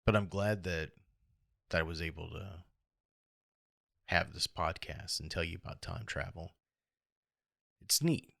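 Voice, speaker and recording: A middle-aged American man with a hoarse, gravelly voice, talking alone in a reflective, halting and rambling way as a casual podcast host. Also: sits low, fairly even intonation, sharp tone, no echo, clean studio sound. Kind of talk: casual solo podcast monologue.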